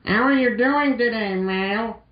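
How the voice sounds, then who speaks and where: A man's voice: very high voice, measured pace, pitched above the performer's own voice. A man voicing a perky, lovesick young girl program in an amateur cartoon-style reading. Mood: cheerful. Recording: roomy, dull lo-fi mic.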